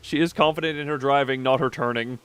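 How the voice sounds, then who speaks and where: A man giving casual, informal commentary on a game stream, with halting phrasing. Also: medium pitch, fairly even intonation, fairly bright tone, clear, resonant tone. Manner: halting, informal, mildly sweary. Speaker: live streamer.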